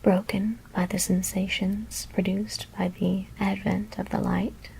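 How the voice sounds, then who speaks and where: A woman whispers a horror story in a hushed, deliberate ASMR reading. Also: mid-range voice, little pitch movement, creaky, poor audio. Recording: faint background noise.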